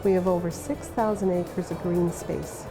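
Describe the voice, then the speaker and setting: A woman speaking for her city in a promotional interview, listing its features in a proud, measured way. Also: on the low side, fairly even intonation, low-quality recording. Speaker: local city representative interviewee. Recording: music bed behind the voice.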